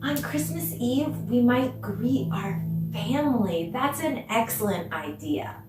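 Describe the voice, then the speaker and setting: Slow-paced woman teacher in an echoey room. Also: a little high, animated, over music. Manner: encouraging. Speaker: dance teacher. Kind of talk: children's online arts lesson, addressing viewers. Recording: echoey room, distant mic, faint noise.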